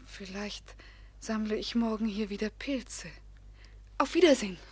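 An actress playing a pert young gentlewoman who poses as a shy peasant girl in a German radio play. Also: mid-range voice, measured pace, breathy edge. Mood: flustered. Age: young adult. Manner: coy, teasing, hesitant when fibbing.

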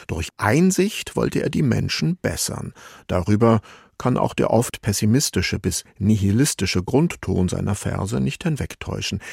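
A man reads a scripted music feature as a radio presenter, in a measured, explanatory manner. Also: on the low side, vocal fry, darkish tone, slightly rough, no echo, clean studio sound.

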